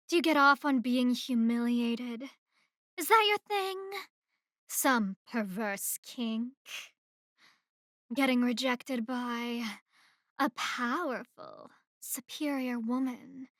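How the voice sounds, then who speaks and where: Slow, high-voiced haughty female boss played by an actress. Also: fairly bright tone, no echo, clean studio sound. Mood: mocking. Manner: condescending, deliberate, mocking. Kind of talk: ASMR roleplay acting. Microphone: close microphone in a dry room.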